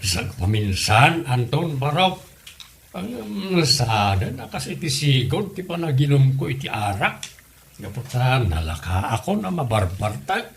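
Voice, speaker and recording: A man playing an elderly, easygoing Filipino father-in-law in a comedy audio drama, with an Ilocano accent and a halting delivery. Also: medium pitch, sing-song. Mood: hesitant, tipsy. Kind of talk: hobby comedy radio drama acting. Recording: noticeable background noise.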